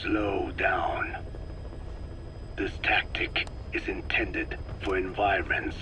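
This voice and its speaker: An actor playing a frail old scientist in a video game, speaking slowly and precisely in a weak, breathy rasp. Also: male voice, low-pitched, noisy background. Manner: slow, deliberate, precise, scientific. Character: frail old male scientist explaining his work, measured and grave. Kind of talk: dramatic game voice acting, expository dialogue.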